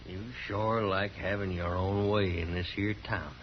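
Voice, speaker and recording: Slow, low-voiced mean cowboy bully. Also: male voice, little pitch movement. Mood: surly, threatening. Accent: American western. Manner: menacing, sneering. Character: mean, bullying frontier tough, grown man. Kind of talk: 1950s radio western acting. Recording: dull 1950s radio recording, noisy room.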